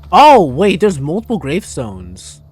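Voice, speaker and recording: A man's voice: on the high side, huge pitch swings, a little slow, darkish tone, husky. A man giving casual live gameplay commentary, thinking aloud in an irreverent, jokey way. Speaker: game livestreamer.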